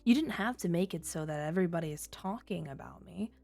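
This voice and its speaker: A woman's voice: lowish voice, expressive, a little fast, creaky, darkish tone. A woman playing a flirty, teasing female criminal who toys with a detective in a coy, sultry ASMR roleplay. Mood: coy, reproachful. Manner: coy, sultry, playful innuendo.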